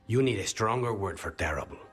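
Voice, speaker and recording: An actor playing a dry-witted, brooding assassin in a fantasy game, understated and deadpan. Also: male voice, lowish voice, brisk, breathy edge.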